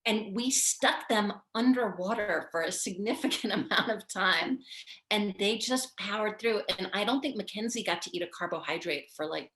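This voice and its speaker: A middle-aged woman, a film producer, chatting quickly with laughing asides on a video call from her living room. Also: medium pitch, fairly bright tone, reverberant, rough recording. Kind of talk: casual conversation. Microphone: video call, far camera.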